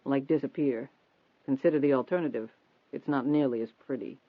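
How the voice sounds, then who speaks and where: A man voicing a persistent, confident newspaper reporter in an audiobook, steady, probing and insistent. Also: fairly high pitch, brisk, dry recording, close to an everyday voice. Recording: thin phone-like band-limited audio.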